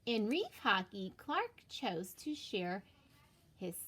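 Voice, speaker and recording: A woman teacher reading a children's storybook aloud in an expressive way, with deliberate emphasis. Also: mid-range voice, husky.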